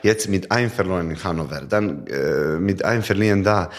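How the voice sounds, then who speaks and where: Very deep-voiced man in halting German. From Montenegro. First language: not German. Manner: halting non-native German with many fillers. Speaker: handball player. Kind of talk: podcast interview.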